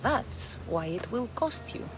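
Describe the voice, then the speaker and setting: A woman's voice: on the high side, very animated, slow pace, music in the background. An actress playing a tough, wry Greek mercenary woman in a video game, speaking in a dry, guarded, blunt way. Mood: businesslike.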